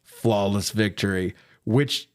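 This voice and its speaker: A man hosting a casual film review podcast, recapping in an animated, profane way with frequent laughter. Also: lowish voice, slow pace. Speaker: podcast host reviewing films. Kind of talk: casual recap and banter between friends.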